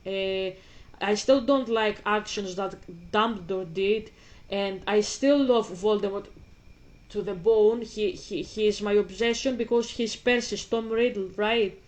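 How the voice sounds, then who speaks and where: A woman's voice: mid-range voice, steady tone, a little slow, rough recording. A woman in her twenties with a Greek accent, hosting a casual commentary, rambling with many restarts and repeats. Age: 20s. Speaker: host.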